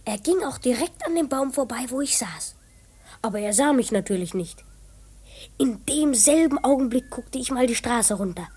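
A woman's voice: high-pitched, husky, full character voice. A woman voicing an eager, confiding twelve-year-old boy detective who narrates a children's radio play. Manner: confiding, excitable, whispered asides.

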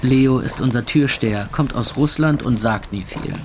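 An actor playing a weary, cynical young club owner in a radio play, narrating and talking with dry sarcasm. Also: male voice, mid-range voice, normal speed, a little mellow, a little hiss or hum, close to an everyday voice. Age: young adult. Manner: dry, sardonic.

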